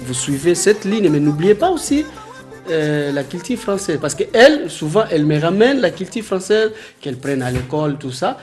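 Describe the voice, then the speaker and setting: A man in his thirties from the Democratic Republic of the Congo, a Congolese language his mother tongue, talking earnestly with halting restarts in a documentary interview. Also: on the high side, big pitch swings, darkish tone, soft background music. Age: 30s.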